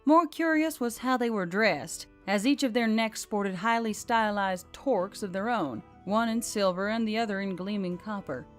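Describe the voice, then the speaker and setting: A woman's voice: medium pitch, moderate pace, hardly any pauses. Woman narrating a story over music. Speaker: audiobook narrator. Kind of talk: scripted fantasy story narration. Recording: background music under the reading.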